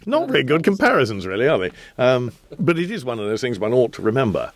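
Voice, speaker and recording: A man's voice: low voice, creaky, dry recording. A man reminiscing in an interview in a wry, self-deprecating way.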